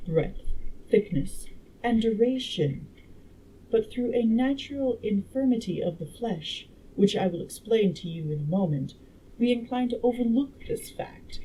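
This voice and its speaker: A woman narrator puts on a learned, assured female time traveler from a storybook. She explains things patiently and precisely, with firm emphasis. The tone stays soft-edged and warm, over faint background noise. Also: mid-range voice, pitched above the performer's own voice, natural voice, poor audio. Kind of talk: acted reading of a classic novel.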